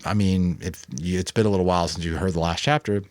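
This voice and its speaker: A man with a fairly low voice works as an audiobook narrator. He reads dramatically and drops into casual commentary, recorded dry with very little room sound. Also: fairly even intonation, quick pace, darkish tone, no room sound.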